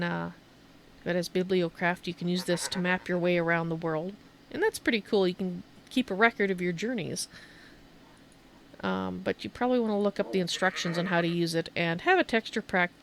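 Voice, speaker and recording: Lower-voiced woman giving casual game commentary. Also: a little hiss or hum. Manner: chatty, informal, thinking aloud. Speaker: video game streamer giving a tour. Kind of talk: casual gameplay commentary and show-and-tell.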